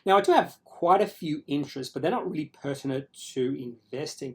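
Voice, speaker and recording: Halting Australian man, casual explainer. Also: on the high side, darkish tone. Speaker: finance video creator and host. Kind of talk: casual explanatory talk to viewers.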